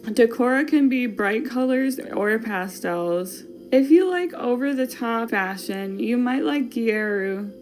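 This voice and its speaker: A woman's voice: a little high, slow. Playful chatty woman with a Wisconsin accent. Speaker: podcast host. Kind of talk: casual fashion podcast chat.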